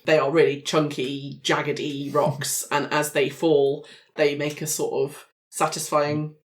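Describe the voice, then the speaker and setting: A woman's voice: sits low, level tone, a little slow, a little hiss or hum. A woman playing a tabletop roleplaying game, casually improvising descriptions of the action.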